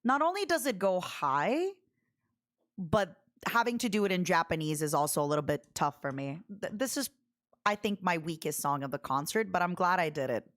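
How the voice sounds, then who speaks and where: A Ukrainian-born woman streamer speaks with a Russian accent in a fairly low voice. Her pitch swings widely, often jumping up into squeals and giggles. She is self-deprecating and chatty in a casual stream. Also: animated, normal speed, fairly bright tone.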